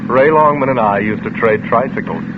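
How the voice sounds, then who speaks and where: An actor playing a glib, flippant charmer under suspicion in a vintage radio drama, with breezy sarcastic banter. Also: male voice, mid-range voice, animated, fairly quick, vocal fry. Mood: breezy denial. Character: glib, charming, flippant male suspect, smoothly teasing. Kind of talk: 1940s-50s radio drama acting. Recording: noisy, tinny old radio recording.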